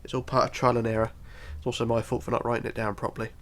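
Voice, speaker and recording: Guy doing a casual game tutorial, croaky creaky voice, bit bunged up, counts everything out. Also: mid-range voice, level tone, racing, fairly bright tone. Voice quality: congested, bunged-up nose. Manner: counts aloud methodically. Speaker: tutorial host.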